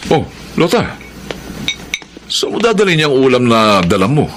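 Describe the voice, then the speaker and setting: A man's voice: medium pitch, big pitch swings, a little slow, fairly bright tone, faint music behind. A man playing a shady, dismissive neighbour husband in a radio drama, speaking expressively.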